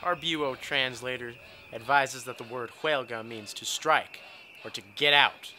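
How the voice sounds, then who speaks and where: Stiff bureau agent, very sharp voice. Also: male voice, mid-range voice, unhurried, slight background noise. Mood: stiff, official. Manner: formal, official. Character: stiff government bureau agent reporting on strikers. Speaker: actor in an oral history dramatization. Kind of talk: formal scripted report.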